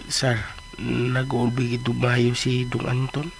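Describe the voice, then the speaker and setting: A man acting in a radio drama as a chatty, comic household helper who talks in an animated, colloquial way. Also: medium pitch, slow pace, creaky, slight background noise.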